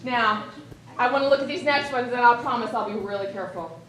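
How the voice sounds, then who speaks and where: A woman running a library puppet show for children, animated and talking straight to the kids as she counts aloud. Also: fairly high pitch, fast, a loud crowd. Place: large library room with children. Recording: echoey room from some distance, kids around.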